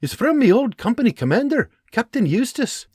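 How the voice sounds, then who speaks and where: A man voicing an eager young colonial scout who talks in an excited, rustic way in an audiobook. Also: fairly high pitch, normal speed, breathy edge, dry recording, clean studio sound, pitched above the performer's own voice. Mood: spirited. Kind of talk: audiobook character voice.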